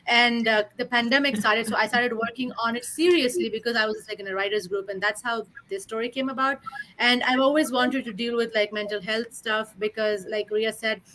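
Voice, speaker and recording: A young woman talks freely in an interview, her voice bright and clear and sitting a little high. She leans on fillers and keeps a loose, easy flow. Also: fairly even intonation, fairly quick, low-quality recording. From India. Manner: chatty, many fillers. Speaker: filmmaker and writer. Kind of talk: casual interview answers.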